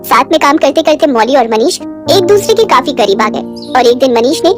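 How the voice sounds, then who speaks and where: Female storyteller for scripted moral tales; very high voice over clear background music. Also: expressive, normal speed. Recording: music behind the voice.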